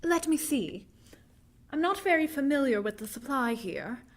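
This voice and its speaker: An anxious young lady of vintage radio drama, played by a woman. Her voice sits high and swoops expressively through emphatic questions. She is well-mannered and inquisitive. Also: expressive, moderate pace. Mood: polite, curious.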